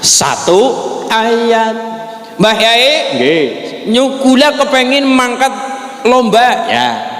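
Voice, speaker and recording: Lively Javanese preacher in an echoey hall. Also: male voice, extremely high pitch, very slow, pauses often. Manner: jokey storyteller, acts out dialogues with voice shifts. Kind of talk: humorous Islamic sermon. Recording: echoey hall with long reverb.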